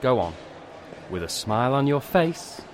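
An actor playing a middle-aged, image-conscious father, quietly firm with forced pleasantness, in an audio drama. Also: medium pitch, big pitch swings, unhurried, a little hiss or hum. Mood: controlled, warning. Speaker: supporting actor.

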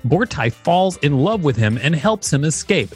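A man's voice: on the high side, very animated, moderate pace, husky, faint music behind. A man co-hosting a podcast, reading an article aloud and making wry, joking asides. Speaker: podcast co-host. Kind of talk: reading aloud with casual commentary.

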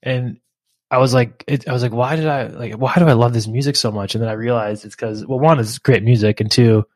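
A man's voice: mid-range voice, a little fast, no room sound. Easygoing man in a dry room. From Philadelphia area. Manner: easygoing, relaxed, uses slang like dude and man. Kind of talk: casual conversation.

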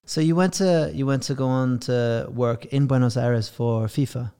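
A man's voice: mid-range voice, fairly even intonation, moderate pace, mellow, clean tone. English male podcast host, mid-range voice, conversational interviewer. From England.

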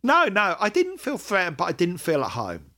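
A man's voice: sits high, huge pitch swings, moderate pace, sharp tone. A middle-aged man hosting a podcast, chatting casually and hesitantly about music fandom, often rambling and correcting himself. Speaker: podcast host.

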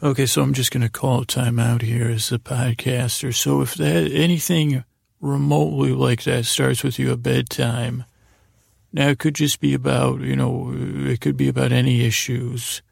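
A man with a gravelly, creaky voice hosting a podcast, delivering a meandering, stammering bedtime monologue that acts out inner voices. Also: medium pitch, flat delivery, normal speed, no echo, studio quality. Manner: meandering, stammering, repeats and trails off. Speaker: podcast host.

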